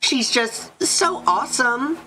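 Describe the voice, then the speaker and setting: A man voicing giddy young female demon sisters in a visual novel, excitable and gushing. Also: extremely high pitch, takes it slowly, noisy background, pitched above the performer's own voice. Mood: gushing admiration.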